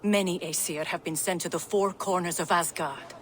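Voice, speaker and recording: An actor playing a level-headed, cautious Norse god in a video game, reasoning firmly. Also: male voice, high-pitched, steady tone, normal speed, a bit bright, slight background noise. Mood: measured, firm. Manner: firm, reasoning. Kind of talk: dramatic video game acting.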